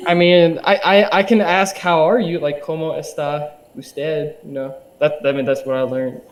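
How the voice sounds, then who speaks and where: A man's voice: fairly high pitch, steady tone, moderate pace. A young man, a podcast guest, chatting casually in a hesitant way with lots of fillers and eager restarts. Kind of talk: casual interview chat.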